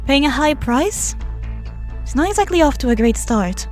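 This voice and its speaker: A woman's voice: high-pitched, sing-song, moderate pace, darkish tone. A woman playing a puzzled, sceptical citizen in a game, sounding incredulous. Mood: puzzled, doubtful. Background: faint music.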